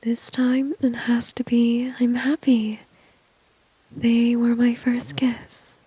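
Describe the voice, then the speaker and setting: A woman's voice: a little high, little pitch movement, slow, dark tone, slightly rough, no room sound, exaggerated voice, poor audio. A woman playing a flirty, dominant, confident villain in an ASMR roleplay, whispering breathily and teasingly close to the microphone. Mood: coaxing, confident. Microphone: close microphone, dry.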